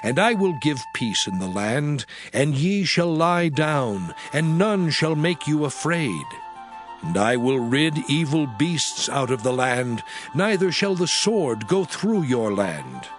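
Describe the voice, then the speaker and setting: Male scripture narrator, solemn and declamatory, with lively pitch movement and clear music underneath. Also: slow pace. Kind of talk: dramatized Bible reading. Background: prominent music.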